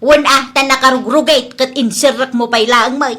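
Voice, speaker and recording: A woman playing a scolding, sharp-tongued, fed-up mother-in-law in a comedy drama, with an Ilocano accent. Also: high voice, steady tone, normal speed. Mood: angry scolding. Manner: scolding, exasperated.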